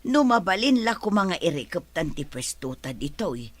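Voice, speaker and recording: Husky, low-voiced village mother. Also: big pitch swings, normal speed. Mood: practical. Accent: Ilocano Filipino. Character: concerned, practical village mother who sells goods. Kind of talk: Ilocano radio comedy drama acting.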